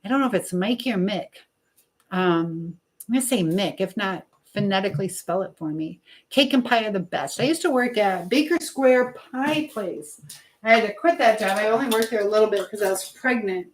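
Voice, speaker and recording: A woman's voice: mid-range voice, animated, normal speed, slight background noise, rough recording. A middle-aged American woman chatting casually as a livestream host, reading and answering comments with an encouraging manner, hopping from one thought to the next. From Michigan, United States. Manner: chatty, jumps between topics mid-thought.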